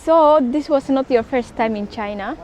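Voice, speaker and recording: A woman's voice: high-pitched, moderate pace, darkish tone. A woman hosting a casual, chatty interview in a bubbly, encouraging way. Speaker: interviewer, host.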